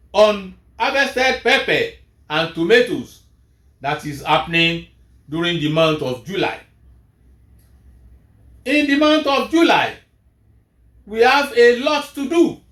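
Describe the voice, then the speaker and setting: A man, a Yoruba speaker, giving a measured, emphatic farm advisory talk as host of a farming show. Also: high voice, fairly bright tone, echoey room. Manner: measured, emphatic, rising then falling at each phrase.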